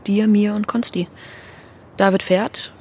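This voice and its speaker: A woman's voice: mid-range voice, fairly even intonation, takes it slowly, darkish tone, a little hiss or hum, lightly acted. Actress as level-headed young female activist. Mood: firm, composed. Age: young adult. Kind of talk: naturalistic audio drama dialogue.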